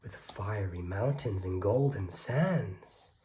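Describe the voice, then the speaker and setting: A woman reading a rhyming children's story aloud, expressively and deliberately, with hushed, breathy phrase endings. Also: very deep voice, animated, a little hiss or hum.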